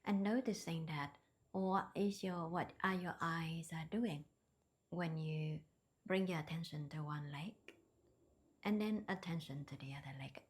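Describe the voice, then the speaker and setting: A woman leading a guided meditation in a soft, breathy near-whisper, with gentle, hesitant non-native phrasing. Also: sits low, measured pace. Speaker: meditation teacher.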